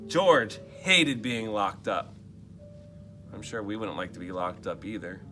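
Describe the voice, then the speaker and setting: A man's voice: on the low side, very animated, unhurried, pushed and bright, faint music behind. A man reading a picture book aloud to children, expressively, dropping into casual muttered asides and questions for his listeners. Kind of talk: animated storybook read-aloud with casual asides.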